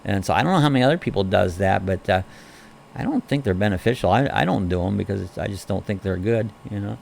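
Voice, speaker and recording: A man with a hoarse, crackly voice from a respiratory illness, hosting a casual morning live chat, greeting viewers by name and reading their comments aloud in an easygoing, rambling way. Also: on the low side, lively intonation, fast talker, darkish tone.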